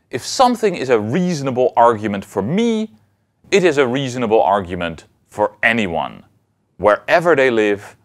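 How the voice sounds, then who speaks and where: A man in his thirties giving a scripted lecture as a university teacher, with deliberate, emphatic phrasing. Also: mid-range voice. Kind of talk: scripted educational lecture to camera.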